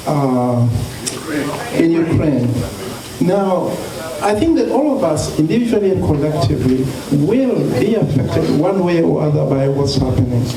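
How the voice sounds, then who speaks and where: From across a busy, reverberant hall, a fairly high-voiced Kenyan man gives an impromptu speech. He is earnest and formal, pausing haltingly as he searches for words. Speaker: community organization president addressing a gathering. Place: echoey indoor dinner gathering. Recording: echoey hall, distant mic, crowd noise.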